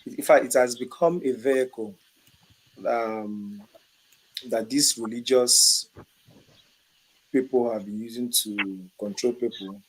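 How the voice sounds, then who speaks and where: A man speaking on a call, measured and hesitant, with many fillers. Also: medium pitch, steady tone, slight background noise, poor audio. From Igbo region of Nigeria. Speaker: panelist.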